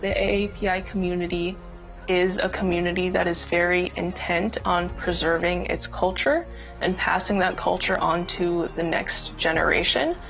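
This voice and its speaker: Low gravelly woman, composed. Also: moderate pace, a bit bright, soft background music, rough recording. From United States. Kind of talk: interview voice-over.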